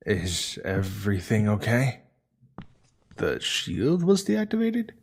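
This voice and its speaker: Deep, husky nervous saboteur. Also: male voice, huge pitch swings, slow pace, full character voice. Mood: feigned surprise. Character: nervous, secretive male space station saboteur. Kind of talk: sci-fi audio drama acting.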